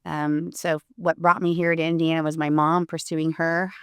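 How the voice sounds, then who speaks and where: A low-pitched woman with a gravelly edge, smooth and resonant underneath, talking earnestly as a guest on an interview podcast. She is in her forties, grew up partly in Puerto Rico, and her thoughts come with frequent fillers and restarts. The recording is close and dry. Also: little pitch movement, normal speed, fairly bright tone, dry recording. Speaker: attorney, guest. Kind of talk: casual interview conversation.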